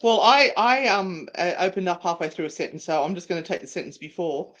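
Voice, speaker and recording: A woman's voice: on the low side, lively intonation, brisk, rough recording. A woman of nearly sixty with an Australian accent, co-hosting a live show in casual, chatty banter. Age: 50s. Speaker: co-host of a live online show.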